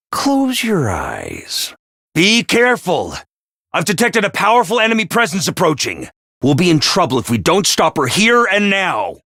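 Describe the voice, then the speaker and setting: A bombastic little mascot commander for a video game. The voice is high and bright, with enormous melodic swoops between chirpy cheer and sly menace. Delivery is theatrical and emphatic. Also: male voice, dry recording, clean studio sound, heavily acted. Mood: sinister glee, then urgent. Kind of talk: animated video game voice acting.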